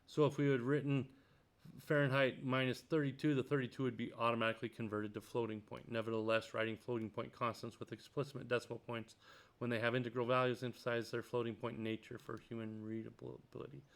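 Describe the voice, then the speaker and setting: Guy talking through a c tutorial, reads the book out loud then comments, lots of um and uh, regular mid voice. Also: mid-range voice, level tone, a little fast. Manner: thinks out loud, many ums and uhs. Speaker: programming tutor. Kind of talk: screen-recorded coding tutorial, reading a textbook aloud.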